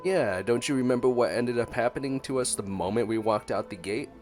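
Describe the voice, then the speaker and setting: A man's voice: medium pitch, a little fast, darkish tone. A man giving casual, playful reactionary commentary as he streams a game. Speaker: gameplay streamer. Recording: game music behind the voice.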